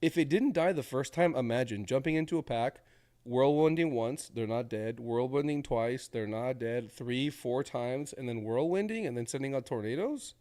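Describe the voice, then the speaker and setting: A man's voice: medium pitch, moderate pace, darkish tone. A male streamer with a cold, sniffly as he gives casual commentary on game previews, thinking aloud and rambling with restarts.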